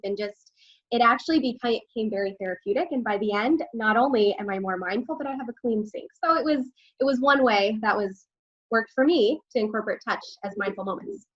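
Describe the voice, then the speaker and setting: A woman's voice: mid-range voice, a bit bright, dull audio. A woman from Texas co-presenting a webinar in an upbeat, conversational way. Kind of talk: webinar talk.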